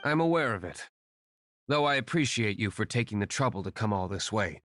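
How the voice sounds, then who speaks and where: An actor playing a calm, aloof young winery owner who works as a secret vigilante, measured and reserved. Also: male voice, on the low side, background noise, close to an everyday voice. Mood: cool. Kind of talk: game voice acting.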